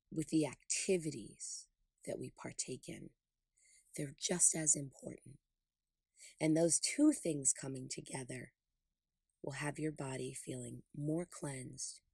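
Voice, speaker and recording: A woman with a low, breathy voice leads a guided meditation. She speaks in short, deliberate, soothing phrases. The sound is close and dry, with no room around her. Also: dark tone, dry recording. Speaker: podcast host and meditation guide.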